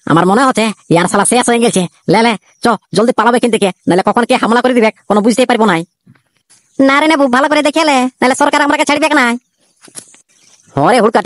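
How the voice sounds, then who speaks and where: A voice artist voicing two jittery young male soldiers in a comic cartoon, with a Purulia Bengali accent and rapid, chatty banter. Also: mid-range voice, no echo, clean studio sound, natural voice. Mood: panicky.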